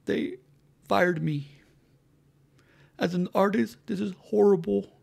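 A man's voice: high voice, slow, vocal fry, a little mellow, voice pushed up, heavily acted. A man mockingly voicing a melodramatic, distraught cartoon fan in anguish. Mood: mock anguish. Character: melodramatic, wailing, distraught fan or fired artist.

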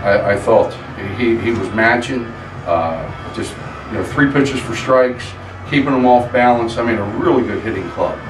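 A middle-aged man, a head baseball coach, answering at a post-game press conference, halting with many fillers and restarts. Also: mid-range voice. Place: press conference room with backdrop, indoors. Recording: echoey room, distant mic, background noise.

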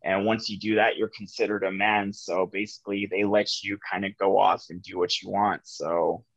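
A young man in his twenties from New Mexico, telling his personal story over a video call in a reflective, soft-spoken way, with many "like" and "um" fillers. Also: fairly low pitch, level tone, moderate pace, dry recording, dull audio. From New Mexico, USA. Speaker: guest sharing his recovery story. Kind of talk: candid personal storytelling on a podcast. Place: office room with ceiling lights. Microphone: video call webcam.

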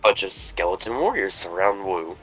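Low-voiced man reading stage directions. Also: sing-song, moderate pace, a bit bright, soft background music, low-quality recording. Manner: plain read-aloud narration, joking between lines. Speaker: narrator of a fan table read.

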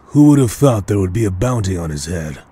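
Thug heavy for a game story: gruff, low, menacing bounty hunter, man doing the voice. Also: very animated, a little fast, dark tone, heavily acted. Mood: scheming.

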